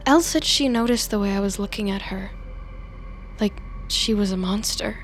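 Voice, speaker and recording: A woman's voice: mid-range voice, normal speed, a little hiss or hum, close to an everyday voice. An actress playing a mousy, self-pitying young woman in a confessional audio drama monologue, wry and self-justifying. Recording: music under the voice. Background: music.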